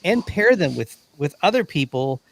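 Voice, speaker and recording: A man on a casual fan panel, speaking haltingly as he searches for words. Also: a little high, sing-song, vocal fry, rough recording.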